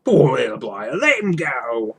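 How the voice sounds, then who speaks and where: A man voicing a big, boozy, blustering but soft-hearted troll with coarse, rustic slang, for a storybook. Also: mid-range voice, sing-song, moderate pace, gravelly, husky, exaggerated voice. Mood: pitying. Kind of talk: storybook character voice.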